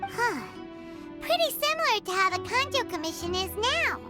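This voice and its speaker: An actress playing a small childlike companion creature in a video game, perky, blunt and casual. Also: female voice, very high voice, sing-song, normal speed. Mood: musing. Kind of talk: scripted video game character dialogue. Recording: game music loud behind the voice.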